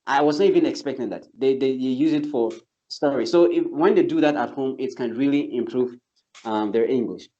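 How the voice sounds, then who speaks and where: Male English teacher, casual explainer, middle pitch, a bit lo-fi. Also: brisk, dark tone. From not from Turkey. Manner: earnest, explains step by step with many restarts. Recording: slightly dull lo-fi sound.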